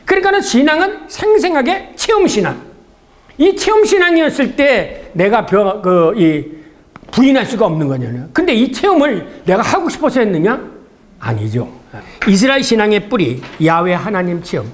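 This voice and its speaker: A man's voice: sits high, sing-song, normal speed. A man giving a theology seminar lecture in a conversational, didactic way, often asking rhetorical questions and answering them himself.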